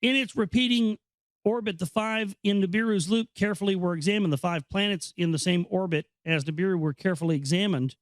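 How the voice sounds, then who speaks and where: A man hosting an informal read-aloud, explaining and commenting in a halting, conversational way. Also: sits high, dry recording. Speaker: host reading a book aloud with commentary. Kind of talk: informal reading with off-the-cuff commentary.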